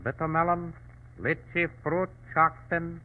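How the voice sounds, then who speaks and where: An actor playing a menacing but servile shopkeeper henchman in an old radio drama, speaking halting broken English. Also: fairly high pitch, fairly even intonation, close to an everyday voice. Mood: guarded, curt. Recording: tinny, band-limited old radio recording.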